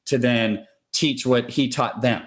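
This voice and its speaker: A man's voice: medium pitch, fairly even intonation, slow pace. A man speaking as a podcast interview guest, a real estate investor explaining things conversationally and step by step.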